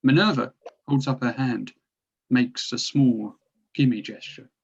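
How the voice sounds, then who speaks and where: A man's voice: medium pitch, slow pace, vocal fry, darkish tone, husky. A man narrating stage directions from a drama script, recorded on dull, lo-fi audio.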